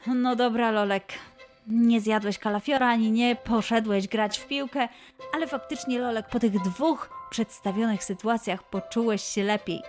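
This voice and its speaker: High-voiced woman hosting a children's quiz. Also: fairly even intonation, moderate pace, faint music behind. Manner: playful, encouraging, exclamatory. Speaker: children's quiz show host. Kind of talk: lively scripted quiz presenting for children.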